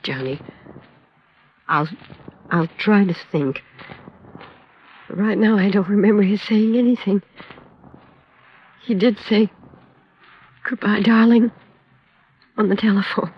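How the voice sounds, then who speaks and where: A fragile, grief-stricken American widow in a vintage radio crime drama. Her mid-range voice is halting and emotional, lost and bewildered. The recording is dull with age. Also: mid-range voice, breathy edge. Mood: halting, tearful.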